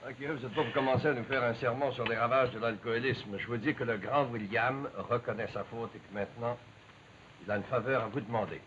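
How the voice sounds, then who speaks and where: Male actor, persuasive friend, Quebec accent, rapid speech, medium pitch, muffled recording, faint noise. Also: steady tone, a bit bright, lightly acted. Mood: wry, persuasive. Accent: Quebec French. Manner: persuasive, familiar, insistent. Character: persistent, teasing friend pleading for a drinker. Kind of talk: 1950s television drama acting. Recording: dull, faintly noisy 1950s soundtrack.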